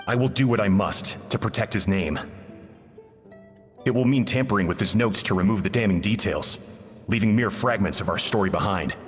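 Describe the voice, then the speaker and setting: An actor playing a grieving, resolute man of genius, reading out a confession in solemn, measured, formal game narration. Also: fairly low pitch, close to an everyday voice. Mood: grim resolve. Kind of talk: dramatic game monologue, letter narration. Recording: long reverb, music behind.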